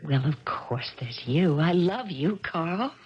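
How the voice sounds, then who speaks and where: A woman's voice: deep voice, lively intonation, takes it slowly, slightly rough, full character voice. An actress playing a troubled woman tennis champion in a 1970s radio drama. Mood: earnest. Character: dazed, despairing tennis champion recalling her life. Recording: dull archival 1970s radio broadcast.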